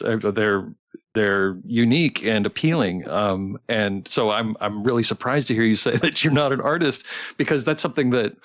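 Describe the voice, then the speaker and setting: Low-voiced man in casual interview chat. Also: no echo, clean studio sound. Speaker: streamer hosting a developer interview.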